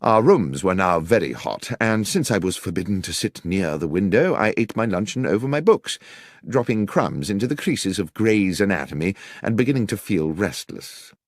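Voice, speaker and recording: British man narrating, lively range, low pitch. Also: moderate pace, dry recording, studio quality. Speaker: audiobook narrator. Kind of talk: scripted first-person story narration.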